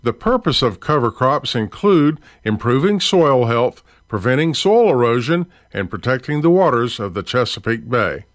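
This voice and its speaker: A man hosting a farm radio program, reading rural news and sponsor copy in a steady announcer delivery into a studio mic. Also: mid-range voice, moderate pace, hardly any pauses, no echo.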